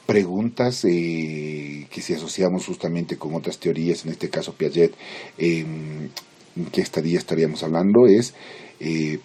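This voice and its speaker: Deep, husky man giving a lecture. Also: mellow. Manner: didactic, halting phrasing with check-in questions. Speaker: psychology lecturer. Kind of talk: informal lecture, explaining theory with everyday examples.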